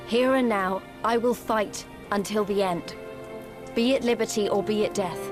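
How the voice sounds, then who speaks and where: A woman acting as a spirited young female rebel fighter in a fantasy game. She is earnest, with a mid-pitched voice, and music plays clearly behind her. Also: lightly acted. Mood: resolute. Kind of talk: video game cutscene voice acting.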